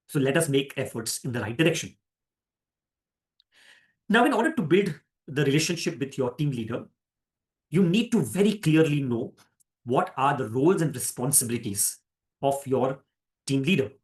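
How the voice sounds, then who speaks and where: Emphatic Indian man, higher-pitched voice. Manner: deliberate, emphatic, repeats phrases for emphasis. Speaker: managing director leading an orientation. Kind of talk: orientation talk to new franchise partners.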